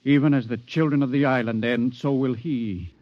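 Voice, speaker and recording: An actor playing an uneasy scientist who speaks hesitantly and broodingly in a 1950s radio drama. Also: male voice, medium pitch, fairly even intonation, normal speed, no room sound, highs cut off, close to an everyday voice. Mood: troubled. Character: uneasy scientist, troubled and doubtful. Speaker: bomb scientist. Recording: vintage radio broadcast with faint hiss.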